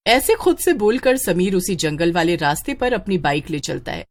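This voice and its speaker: A woman narrating a scripted horror story in a dramatic, expressive way. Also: fairly low pitch, moderate pace.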